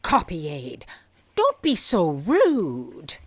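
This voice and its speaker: A woman's voice: on the low side, huge pitch swings, drawn-out words, exaggerated voice. An actress playing a lofty, commanding and blithely oblivious female editor-in-chief in a comedic audio drama. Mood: imperious.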